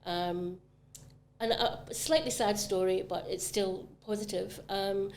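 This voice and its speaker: A woman's voice: mid-range voice, steady tone, takes it slowly, darkish tone, a little hiss or hum. A woman from Scotland with a Scottish accent, talking about retail property in a casual podcast conversation, enthusiastic and full of ums. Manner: chatty, enthusiastic, many ums. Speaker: commercial property manager.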